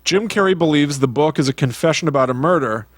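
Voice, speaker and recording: A man co-hosting a podcast, recounting films in casual chat with halting delivery and slangy, emphatic asides. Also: a little high, animated, no room sound. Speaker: podcast co-host.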